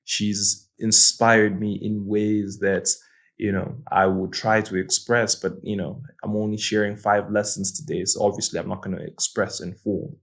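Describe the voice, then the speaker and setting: A man's voice: lowish voice, flat delivery, moderate pace, creaky. A young man from Malawi hosting his own channel, talking through life lessons in an earnest, reflective way and often repeating words as he thinks. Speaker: host. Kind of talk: casual reflective monologue.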